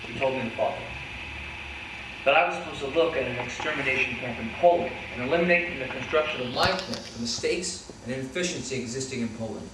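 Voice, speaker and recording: A man playing a former Nazi commandant in his forties in a staged reading, reciting his testimony in a formal, measured, matter-of-fact way. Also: medium pitch, close to an everyday voice. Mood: formal, unrepentant. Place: hall, recorded from a distance. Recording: very echoey hall, far mic, loud noise.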